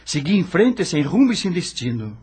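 A man's voice: on the high side, very animated, normal speed, a little mellow, slightly rough, heavily acted. A Brazilian man acting as the frightened, lost ghost of a rock star, narrating a radio drama in a dramatic, emotional way. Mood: anguished, haunted. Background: background music.

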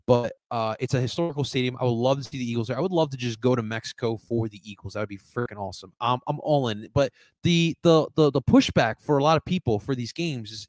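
A man's voice: mid-range voice, dry recording. A man with a light Latin American Spanish accent hosting a casual live sports talk show, rambling, repeating words and reading out viewer comments. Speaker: sports podcast host reading live chat.

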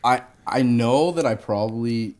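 A man's voice: mid-range voice, unhurried, darkish tone. A man co-hosting a casual podcast, laid-back and jokey, swearing casually and sounding a bit congested. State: congested from a sore throat. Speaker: podcast co-host. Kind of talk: casual chat.

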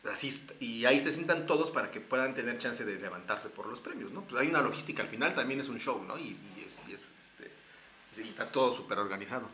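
A man's voice: medium pitch, expressive, fast, pushed and bright, roomy sound, slight background noise. A man with a Mexican accent, telling a long story casually with slang, fillers and restarts. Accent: Mexican Spanish. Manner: rambling, many fillers and restarts.

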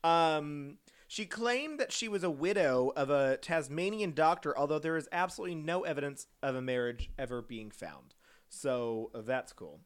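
A man's voice: fairly high pitch, expressive, normal speed, smooth. A man hosting a podcast, casually telling a true-crime story, giggly and rambling with frequent self-corrections.

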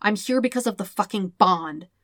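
A woman's voice: expressive, moderate pace, dry recording, clean studio sound. A woman voicing a blunt, defensive, indignant teenage boy in an audiobook story, snapping in a harsh, volatile way. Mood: urgent, snapping. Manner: snapping, loud, harsh, high-strung.

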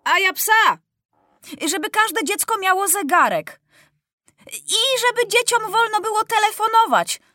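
A woman voicing a crowd of excited shouting children. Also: extremely high pitch, measured pace, no room sound, studio quality, voice pushed up, exaggerated voice. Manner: excited, demanding calls.

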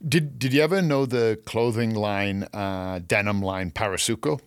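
A man's voice: fairly low pitch, moderate pace, clean tone, no echo, studio quality. A man with a Canadian accent co-hosting a podcast, chatting casually and sharing opinions and anecdotes in a conversational way. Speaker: podcast co-host, gardener and landscaper.

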